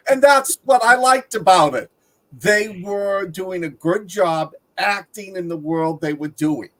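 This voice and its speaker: A man's voice: high-pitched, a little slow, fairly bright tone, poor audio. A man co-hosting a film review show, talking casually with the other panelists. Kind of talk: casual panel chat about films.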